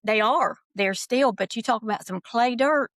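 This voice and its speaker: A woman's voice: on the high side, moderate pace, a bit bright, no echo, clean studio sound. A middle-aged woman from Georgia with a Southern accent, chatting as a podcast guest in a folksy, storytelling way. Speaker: podcast guest, nursery researcher. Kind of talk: casual chat.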